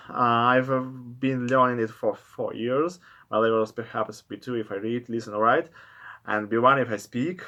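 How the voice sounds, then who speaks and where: Man, Russian accent, crisp clear tone, slow careful non-native English with pauses. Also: medium pitch, bright, forceful tone. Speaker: vlogger. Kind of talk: casual talk to camera.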